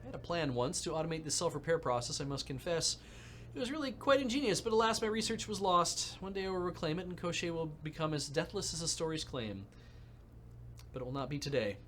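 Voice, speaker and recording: A man reading aloud the lines of a polite, wry Russian drone engineer, with a faint Russian accent and formal, measured speech. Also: a little high, lively intonation, fairly bright tone, slight background noise. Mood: wry, courteous.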